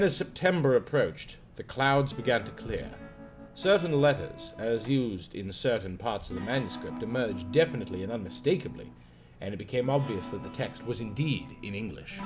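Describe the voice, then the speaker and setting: A man reading a literary horror story as an audiobook narrator, in a measured, formal delivery. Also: mid-range voice. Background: guitar music.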